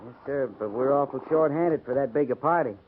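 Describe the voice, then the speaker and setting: A man's voice: mid-range voice, brisk, lightly acted. A man playing a plainspoken, folksy frontier cavalry sergeant with a rustic American accent in a 1950s radio western. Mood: wary, alarmed. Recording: tinny, noisy 1950s radio recording.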